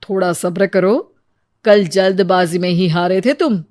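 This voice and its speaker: A woman's voice: fairly low pitch, unhurried, a little mellow, close to an everyday voice. A woman voicing a stern but fair male royal minister, officious and instructing, in a storybook tale. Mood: measured.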